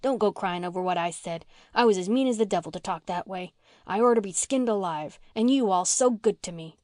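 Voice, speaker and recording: A woman reading an audiobook gives voice to a scrappy, slangy orphan girl who talks bluntly and flares up quickly. Her rough country speech comes across dry and close. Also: medium pitch, darkish tone, no room sound, lightly acted. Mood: remorseful.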